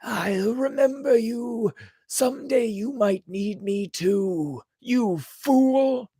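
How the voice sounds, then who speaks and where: A storyteller voicing a frail, indignant little spark with a breathy, gasping, dramatic delivery. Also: medium pitch, slow, mellow, dry recording, voice pushed up, heavily acted. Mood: resentful.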